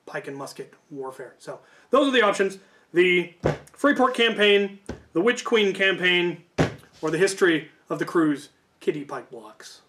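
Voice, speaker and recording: Man, slightly high voice, vlog host, rambling casual storyteller, informal, second-guesses himself. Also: animated, measured pace, noisy background. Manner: informal, hedging and self-correcting. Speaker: YouTube host.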